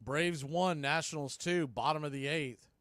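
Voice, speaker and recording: A man with a fairly high voice announces live baseball in a dry, close recording. He gives clipped pitch calls and fills the gaps with conversational, partisan commentary. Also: normal speed, fairly bright tone, dry recording. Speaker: baseball radio play-by-play announcer.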